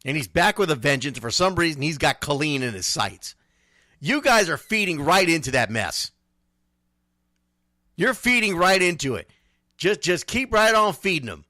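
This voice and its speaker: Bright-voiced man hosting sports talk radio. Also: fairly high pitch, big pitch swings, moderate pace, no room sound, studio quality. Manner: emphatic, repeats phrases for effect. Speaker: radio show host.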